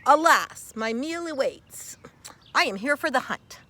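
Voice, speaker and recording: A woman's voice: high voice, animated, measured pace, pushed and bright, slight background noise, voice pushed up, full character voice. A woman voicing a grandiose, hungry fox in a children's storybook, lofty and theatrical.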